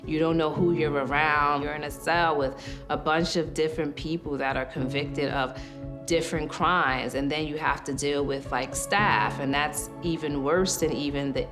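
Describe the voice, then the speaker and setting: A woman's voice: low voice, fairly even intonation, normal speed. A woman from Queens, New York, speaking earnestly and candidly in a documentary interview. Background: music.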